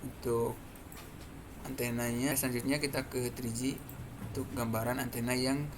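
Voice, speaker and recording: Seorang pria muda berlogat Indonesia menjelaskan demonstrasi perangkat lunak sebagai mahasiswa presenter, bicaranya tersendat-sendat. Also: male voice, mid-range voice, level tone, normal speed. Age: young adult. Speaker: student presenter. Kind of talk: explanatory software demonstration. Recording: clearly noisy background.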